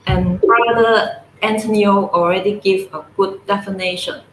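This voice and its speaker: A woman from Hong Kong with a Cantonese accent, giving a guest talk in an online panel and explaining things slowly and gently. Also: medium pitch, steady tone, roomy sound, slight background noise, poor audio. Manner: slow, gentle explaining with soft laughs. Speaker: guest speaker.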